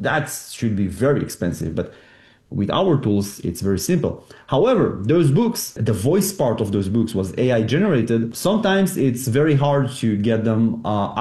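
A man with an Israeli accent speaks in a mellow, darker tone, his voice carrying a roomy echo. He explains things earnestly, often restarting and repeating a word before going on. It sounds like a remote guest in an interview. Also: medium pitch. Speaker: company CEO guest. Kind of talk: interview answers.

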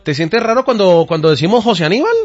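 Male radio host, talkative, a bit high-pitched, muffled lo-fi sound. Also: expressive, quick pace. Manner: chatty, rambling with hesitations. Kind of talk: conversational radio interview. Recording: dull lo-fi radio audio, faint music.

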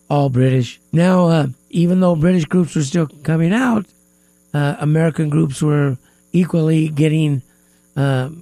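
A man hosting a live music talk radio show in a studio, sounding stuffy-nosed and pausing often with fillers. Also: on the high side, gravelly, mellow, no room sound. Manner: hesitant, many filler pauses. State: stuffy nose. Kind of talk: casual talk radio chat.

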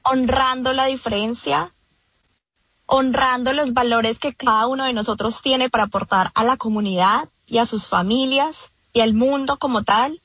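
A woman's voice: fairly high pitch, normal speed, a bit bright, husky, no room sound. A woman with a Colombian accent, a guest in a radio interview, speaking earnestly with many fillers and restarts. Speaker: event organizer, guest.

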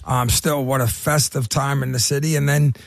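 Gravelly Philly man, halting talk. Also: medium pitch, fairly even intonation, dry recording, clean studio sound. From Irish heritage. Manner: halting, many fillers and restarts. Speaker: radio co-host. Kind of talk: casual radio chat.

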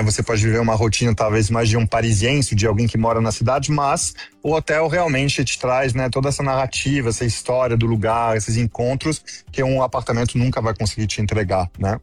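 Middle-aged man, enthusiastic guest. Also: mid-range voice. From France. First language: French. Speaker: interview guest working in gastronomy. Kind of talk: conversational interview answers.

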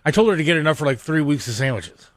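A male radio co-host with a fairly high voice and big swings in intonation. He banters casually, pushing his point with joking insistence. The sound is dry and studio-close. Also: expressive, quick pace, dry recording.

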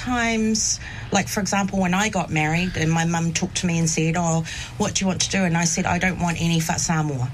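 Relaxed woman with a lowish voice. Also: brisk, slight background noise, rough recording. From New Zealand. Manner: relaxed, many fillers like "you know" and "um". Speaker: radio guest. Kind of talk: casual radio interview.

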